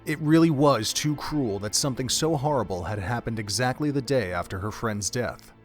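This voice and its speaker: A man's voice: medium pitch, lively intonation, moderate pace, soft background music. A man narrating a dramatic story from a script, as the narrator of an audio drama.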